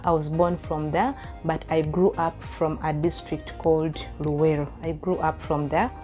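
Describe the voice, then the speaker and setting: A Ugandan woman in her thirties talks to her viewers in a relaxed Q&A vlog. Her voice sits on the lower side, and she reads each question aloud, then rambles into chatty answers with small laughs. Soft music plays faintly behind her. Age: 30s. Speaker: vlogger. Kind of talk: casual Q&A chat to camera.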